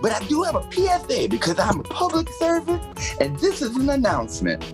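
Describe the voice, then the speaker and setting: A woman's voice: high voice, huge pitch swings, brisk, music in the background. A woman playing a lonely, overwrought local radio reporter, giving a rambling, emotive comic announcement. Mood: chatty. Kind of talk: comic public service announcement monologue.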